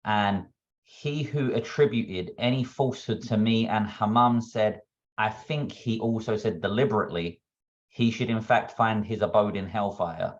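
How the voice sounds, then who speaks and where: A man from Texas asking a question at a discussion, speaking in a measured, courteous way and reading quotations aloud. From Texas, United States. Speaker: audience member asking a question. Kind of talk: polite question at an online discussion. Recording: dull, low-fidelity connection.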